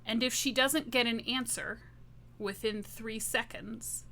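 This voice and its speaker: Woman playing a tabletop rpg, sharp bright voice, takes her time, kinda dramatic. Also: fairly high pitch, fairly even intonation, a little slow, creaky, slight background noise. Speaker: tabletop game player. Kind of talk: narrating her character's actions in a roleplaying game.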